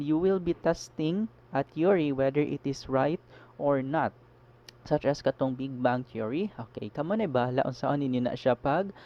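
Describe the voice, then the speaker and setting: A Filipino man with a Cebuano accent, giving a recorded slide lesson as a teacher and switching between English and Cebuano, often checking in with a quick "no?". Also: medium pitch, darkish tone, lo-fi sound. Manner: mixes English with Cebuano, explanatory with frequent "no?" checks.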